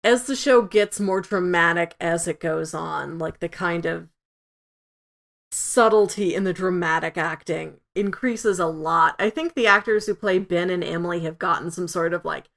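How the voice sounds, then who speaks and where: A woman's voice: fairly low pitch, normal speed, creaky, fairly bright tone. A woman co-hosting a review podcast, talking casually and conversationally. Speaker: podcast co-host.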